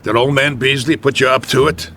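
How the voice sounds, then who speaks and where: An actor playing a sarcastic, exasperated American manager of a losing baseball club in a radio drama, brash and slangy. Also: male voice, mid-range voice, fairly even intonation, brisk, lightly acted. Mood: mocking.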